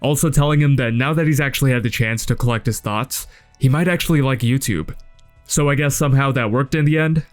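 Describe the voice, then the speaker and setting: A man's voice: medium pitch, brisk. A man giving casual scripted commentary in a blunt, sardonic, sweary way. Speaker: video essay host reviewing a webcomic. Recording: music under the voice. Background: background music.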